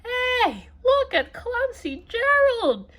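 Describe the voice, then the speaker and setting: A jeering jungle animal from a picture book, acted by a woman. The voice is high and swoops freely, calling out loud taunts with mocking scorn. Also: very animated, very slow, exaggerated voice. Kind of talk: children's storybook read-aloud.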